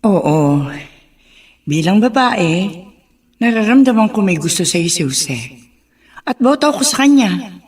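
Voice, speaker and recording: A woman playing a caring middle-aged mother in a radio drama, advising approvingly in a husky voice. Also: medium pitch, very animated, a little slow, pauses often, a little mellow, recorded from a distance.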